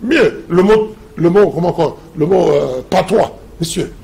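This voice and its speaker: A man's voice: sits high, animated, a little slow, vocal fry, warm tone. A man, a professor lecturing emphatically in a TV debate. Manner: emphatic, lecturing, repeats slogans.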